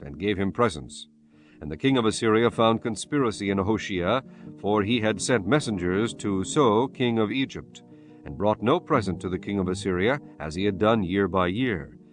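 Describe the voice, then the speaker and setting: A man narrating a dramatized Bible audiobook in a solemn, measured, formal way. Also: fairly low pitch. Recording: soft music bed under the voice.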